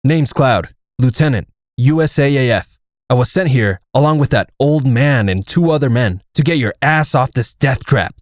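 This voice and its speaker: A man playing a stoic, fatalistic Navajo army air force lieutenant in an audio comic, solemn and measured. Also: mid-range voice, lively intonation, no room sound, clean studio sound, natural voice. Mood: determined, grim. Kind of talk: dramatic first-person narration and dialogue in an audio comic.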